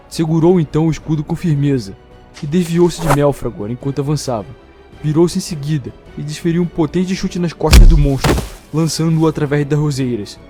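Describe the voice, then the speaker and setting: A man with a Brazilian accent narrating a fantasy story in a dramatic, scripted storytelling style. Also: fairly high pitch. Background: music.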